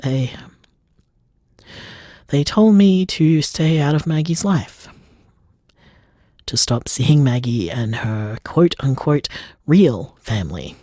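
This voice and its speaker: Man acting as a slow, halting, wounded man. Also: mid-range voice, lively intonation, warm tone, no echo, clean studio sound. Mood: shaken, halting. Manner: halting, repeating himself, emotional. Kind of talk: audio drama voicemail monologue.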